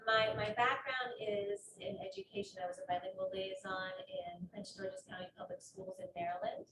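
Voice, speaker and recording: A woman from Puerto Rico introduces herself on a panel in a friendly way, with frequent ums. Her voice sits at an everyday pitch. The recording is distant and roomy, with clear room noise. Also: fairly even intonation, moderate pace. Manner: friendly, filler-heavy. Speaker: panelist, community outreach worker.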